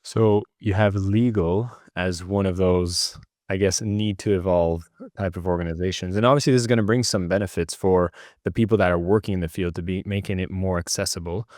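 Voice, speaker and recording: Deep-voiced male podcast host, dry sound. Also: fairly even intonation, darkish tone, no room sound. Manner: measured, slightly halting questions. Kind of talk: interview questions.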